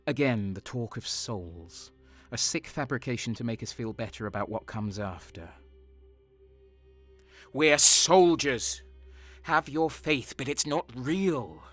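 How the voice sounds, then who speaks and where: A man's voice: mid-range voice, lively intonation, takes it slowly, fairly bright tone, faint music behind. An actor playing a cynical, cold and sadistic soldier who narrates his crimes in a brooding, sardonic way in an audio drama.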